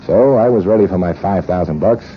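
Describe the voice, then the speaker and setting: Man acting low-voiced cynical American adventurer, old radio. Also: brisk, natural voice. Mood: wry. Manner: wry, wisecracking. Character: cynical, money-hungry American male gunrunner adventurer. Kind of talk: 1940s radio acting, hard-boiled narration. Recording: muffled, noisy 1940s radio recording.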